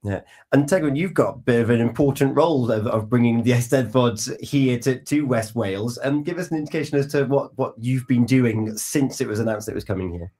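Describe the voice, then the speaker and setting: A man's voice: medium pitch, brisk, warm tone. A man hosting a breakfast radio show, chatting with guests and leading long questions. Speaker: radio host. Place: radio studio.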